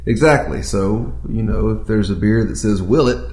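A man's voice: on the low side, moderate pace, noisy background. A man co-hosting a podcast in rambling casual chat, often stammering as he restarts his sentences. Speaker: podcast co-host. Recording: roomy echo.

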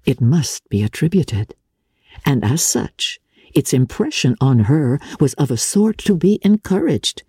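A woman narrates classic literature in a formal, theatrical storytelling style. Her voice is husky and mellow, and her pitch moves dramatically up and down as she shapes each measured phrase. The sound is dry and intimate. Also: dry recording. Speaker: audiobook narrator.

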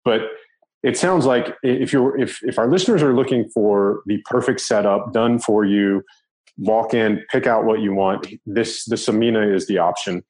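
A man's voice: on the low side, normal speed, a little mellow. A man hosting an interview, speaking in a friendly, hesitant way with many fillers. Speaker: podcast host. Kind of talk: casual interview chat.

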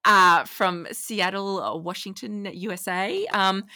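A woman co-hosts a casual fan podcast in a bright, smooth voice. She talks in an enthusiastic, chatty way, and the sound is close and dry. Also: medium pitch, takes it slowly, no echo. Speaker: podcast co-host.

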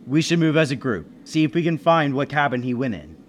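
A man playing an anxious businessman who narrates a murder mystery in deliberate, dramatic 1930s radio suspense style. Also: medium pitch, sing-song, slight background noise, lightly acted. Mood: wary.

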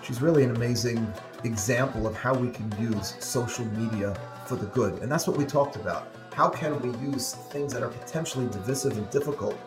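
A man's voice: medium pitch, echoey room. A man hosting a podcast interview, welcoming his guest with enthusiasm and restarting his sentences now and then. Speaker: podcast host, rabbi.

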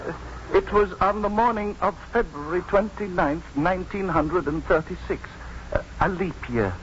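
An actor playing a meek, simple middle-aged manservant in 1950s radio drama, speaking haltingly and earnestly. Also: male voice, sits high, fairly bright tone. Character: meek, dull-witted, soft-hearted middle-aged manservant, once hanged. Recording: dull, noisy 1950s radio recording.

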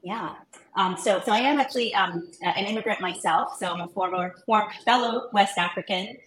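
A woman's voice: medium pitch, brisk, fairly bright tone, slight background noise. A woman from Ghana in her thirties, a physician turned founder, chatting as a guest with many ums and restarts. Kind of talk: casual interview conversation. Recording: roomy echo.

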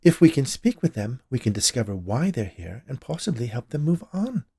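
A man's voice: medium pitch, big pitch swings, fairly quick, mellow, slightly rough, dry recording, clean studio sound, pitched above the performer's own voice. A man voicing a plump, unperturbed woman spirit medium with a lofty, knowing, explanatory manner in a storybook reading. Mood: knowing, lecturing.

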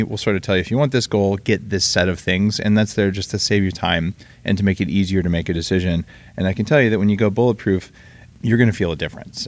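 A man hosting a podcast, chatting casually and upbeat with quick asides, recorded in a room with his guest. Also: low-pitched. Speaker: podcast host. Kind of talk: casual interview and ad read. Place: room in Hawaii, live with guest.

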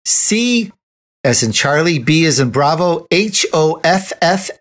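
A man's voice: on the high side, animated, slow, fairly bright tone. A man hosting a casual interview in a playful, teasing way. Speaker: interview host.

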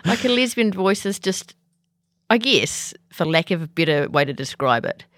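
Lively, laughing woman host, dry sound. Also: fairly low pitch, normal speed, no room sound. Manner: playful, laughs often. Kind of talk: casual chat.

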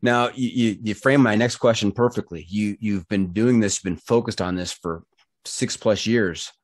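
A man's voice: on the low side, moderate pace, no echo. A man hosting a podcast, asking questions in a joking, easygoing way. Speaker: podcast host. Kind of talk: casual interview chat. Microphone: studio microphone.

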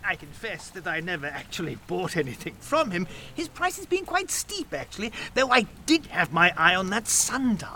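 An actor playing a rambling, apologetic village vicar in an audio sitcom, hesitant and stammering. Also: male voice, high-pitched, big pitch swings, moderate pace, hardly any pauses, sharp tone, slight background noise, heavily acted. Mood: solemn, rambling.

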